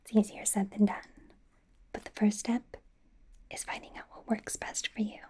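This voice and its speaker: A woman whispers softly and breathily in an ASMR personal-attention roleplay. She plays a bubbly young idol stylist who dotes on the listener, coaxing and reassuring with playful, chatty asides. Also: medium pitch. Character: bubbly, doting young female pop idol playing stylist.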